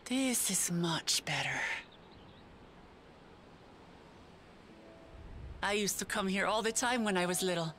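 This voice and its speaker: A woman's voice: medium pitch, expressive, moderate pace, fairly bright tone, a little hiss or hum, natural voice. An actress playing a young, idealistic lion-woman warrior princess in a video game, speaking earnestly and from the heart. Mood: fond, nostalgic. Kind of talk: voiced game cutscene dialogue.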